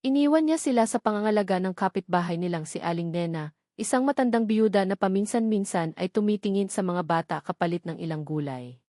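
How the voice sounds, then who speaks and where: A woman's voice: mid-range voice, darkish tone, dry recording, clean studio sound. A woman narrating a scripted romantic drama story as a dramatic storyteller.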